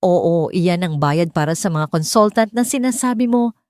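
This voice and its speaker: A woman narrator playing a wealthy boss in an audiobook drama. He is firm, commanding and sincere, with a low, mellow voice. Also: expressive, normal speed, dry recording, studio quality, natural voice. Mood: matter-of-fact.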